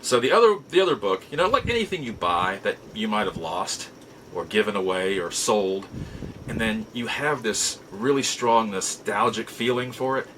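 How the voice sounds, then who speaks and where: Bright-voiced middle-aged man, halting and reflective. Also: lowish voice, expressive, a little hiss or hum, poor audio. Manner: halting, reflective, with emphatic repeats. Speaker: show host. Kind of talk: casual nostalgic storytelling to viewers.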